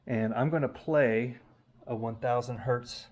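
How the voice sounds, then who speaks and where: A man's voice: medium pitch, measured pace, darkish tone, slight background noise. A man hosting a casual hands-on tutorial, explaining informally and thinking aloud, often correcting himself as he goes. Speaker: YouTube host demonstrating vintage audio testing.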